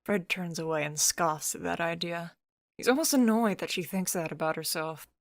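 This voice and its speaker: A woman's voice: fairly low pitch, normal speed, gravelly, dry recording. A woman narrating a scripted story in the present tense, as the storyteller between character lines.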